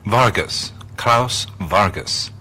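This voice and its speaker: An actor playing a wry, easily rattled American adventurer in a radio play, halting and hesitant in his speech. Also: lowish voice, animated, sharp tone, a little hiss or hum, exaggerated voice. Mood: hesitant, polite.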